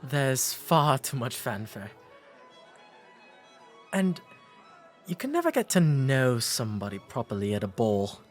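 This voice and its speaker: A man with an English accent playing a courteous, shy, bookish 19th century gentleman in a romantic audio drama, speaking formally and gently. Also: medium pitch, sing-song, unhurried, pauses often, faint music behind. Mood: wry, amused. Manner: formal, polite old-fashioned diction with hesitant, gentle delivery.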